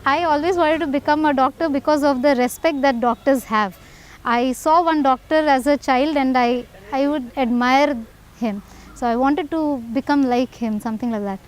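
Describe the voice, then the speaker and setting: A woman's voice: high-pitched, rough recording. A woman in her thirties with an Indian accent, a doctor telling her own story conversationally in an interview. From northern India.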